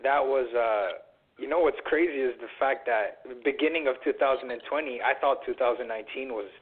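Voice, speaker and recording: A man's voice: medium pitch, fairly quick, breathy edge. Twenty-something guy on a call-in show, earnest, lots of ums, tinny line. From Canada. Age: 20s. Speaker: guest. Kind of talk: casual radio talk.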